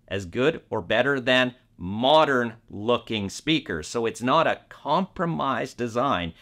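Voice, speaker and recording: A man presents a semi-scripted review as host, talking straight to the viewer at a medium pitch. He phrases things deliberately and leans on key words for emphasis. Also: pushed and bright. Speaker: audio reviewer and video host.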